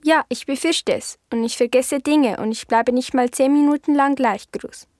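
A woman's voice: sits high, moderate pace, a little mellow, dry recording, studio quality, natural voice. A woman playing a confused, apprehensive girl, speaking hesitantly and earnestly in a literary audio drama.

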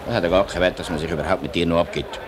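A man's voice: low voice, fairly even intonation, racing, lightly acted. A man playing an irritated, blunt factory workers' representative in a 1950s radio play, with a Swiss German accent. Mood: scornful. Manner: blunt, scolding. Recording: noisy old radio recording, background ambience.

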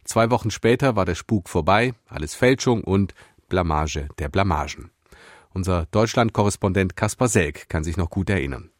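A man presenting a radio news magazine, announcing items in a crisp, scripted way. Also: low voice, animated, moderate pace, no echo, clean studio sound.

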